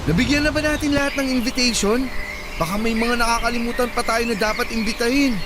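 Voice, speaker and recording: Very high-pitched, eager young Filipino boyfriend. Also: male voice, fast, noisy background. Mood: eager, mild. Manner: earnest, eager. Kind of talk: radio drama acting. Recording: loud music behind the voice.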